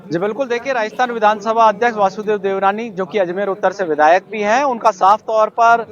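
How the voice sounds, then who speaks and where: A man's voice: high-pitched, steady tone, fairly quick. A male news correspondent giving an unscripted live report in formal, repetitive phrasing. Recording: dull, band-limited live link.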